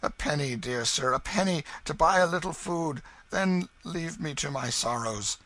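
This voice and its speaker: A man's voice: fairly high pitch, lively intonation, moderate pace, bright, forceful tone, voice pushed up, exaggerated voice. A man voicing a wily, bullying beggar-thief in a storybook, blustering and cajoling in archaic rogue's speech. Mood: wailing, pleading.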